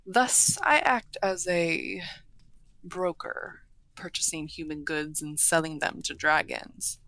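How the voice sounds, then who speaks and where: A woman playing a courteous, earnest half-dragon broker in a fantasy audio roleplay, speaking formally and with measured eloquence. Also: low-pitched, animated, pushed and bright, dry recording, full character voice, low-quality recording. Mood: wry, businesslike. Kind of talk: scripted fantasy audio roleplay, addressing the listener.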